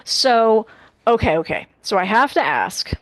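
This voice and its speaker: A woman's voice: a little high, animated, unhurried, no room sound. Eager woman interviewer, dry close sound. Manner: eager, interjecting, repeats words for emphasis. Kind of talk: casual, conversational interviewing.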